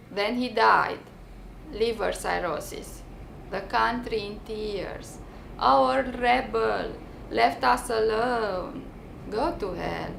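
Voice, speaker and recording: Romanian accent woman doing a dramatic personal monologue, slow and deliberate, bit gravelly, faint noise behind. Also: fairly high pitch, a bit bright, echoey room, poor audio. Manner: theatrical, deliberate, with pointed pauses and bitter irony. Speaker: writer reading her own memoir.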